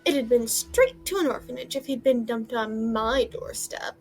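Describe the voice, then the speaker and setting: Woman playing a blustering, high-voiced aunt. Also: expressive, moderate pace, a little mellow, soft background music. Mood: gruff, scornful. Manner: blustering, scolding, cooing at her pets. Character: large, overbearing, haughty middle-aged aunt who dotes on dogs. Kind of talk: audio drama acting.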